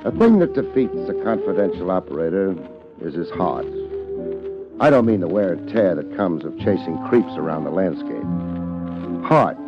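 An American actor playing a wry, world-weary private detective in a 1950s hardboiled radio drama, with deadpan wisecracks. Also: male voice, deep voice, moderate pace. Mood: wry narration. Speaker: lead radio actor and narrator. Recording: dull 1950s radio recording, music behind.

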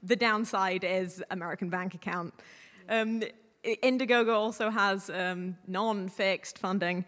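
A woman's voice: mid-range voice, fairly bright tone. A woman on a panel explaining options in an informal way. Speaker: panel guest. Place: live panel in a reverberant room. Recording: echoey hall with long reverb.

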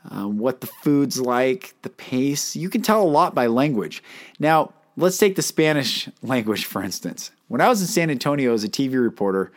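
A man hosting a solo talk episode, earnest and emphatic, asking his listeners questions; he cannot roll his R's. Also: mid-range voice. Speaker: podcast host and life coach.

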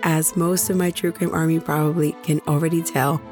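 A woman hosting a true crime podcast, hoarse after nearly losing her voice, chatting directly with her listeners. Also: low-pitched, flat delivery, brisk, darkish tone, faint music behind. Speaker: podcast host.

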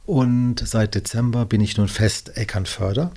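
A man's voice: lowish voice, moderate pace, mellow. A man in his fifties from the Cologne area, chatting as an interview guest, friendly and rambling, now and then tripping over his words and correcting himself. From Cologne area, Germany. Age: 50s. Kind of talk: casual interview chat.

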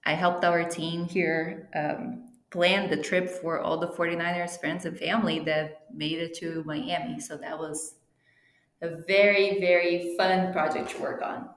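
A woman's voice: on the low side, steady tone, unhurried. Portuguese-native woman, chatty, in an echoey room. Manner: chatty, with frequent fillers and restarts. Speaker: interview guest, team travel planner. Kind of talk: casual interview chat.

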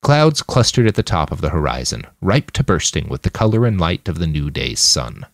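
Deep-voiced male fiction narrator. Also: big pitch swings, moderate pace, gravelly, no room sound, clean studio sound. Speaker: audiobook narrator.

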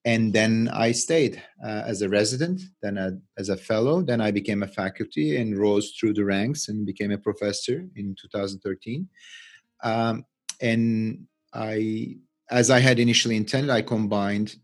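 A middle-aged man with a Turkish accent, giving unscripted interview answers as a scientist, halting and often repeating words. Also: on the low side. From Turkey. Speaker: interview guest, research scientist and physician.

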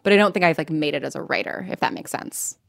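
A woman's voice: low-pitched, fast talker, vocal fry, a bit bright, no echo, studio quality. An American woman speaking candidly as an interview guest, in a chatty, informal way with lots of "like" and self-interruptions. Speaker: interview guest, fiction podcast writer and producer.

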